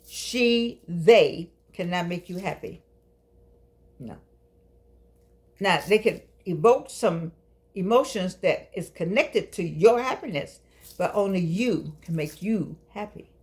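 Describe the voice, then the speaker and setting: A woman's voice: mid-range voice, lively intonation, slow pace. An older woman hosting her own show, talking in a playful, preachy, coaxing way and drawing out phrases for effect. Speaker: host. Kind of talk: casual talk show monologue. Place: home studio with keyboard.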